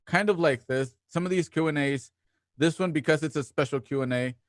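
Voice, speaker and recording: A male show host with a slightly high voice and very little room around it. He delivers intros and promos casually, wandering into playful asides as he talks. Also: fairly quick, no echo, studio quality. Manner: playful, talkative, rambling asides.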